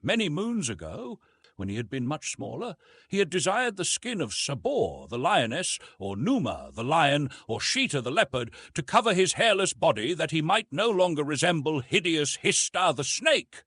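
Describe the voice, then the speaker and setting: A man narrating an adventure novel as an audiobook, in a dramatic and expressive storytelling manner. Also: on the high side, moderate pace, breathy edge, no room sound.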